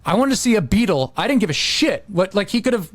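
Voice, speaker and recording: Higher-voiced middle-aged man, rambling and blunt. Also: big pitch swings, fast talker, no room sound. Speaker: podcast host. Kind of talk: casual chat.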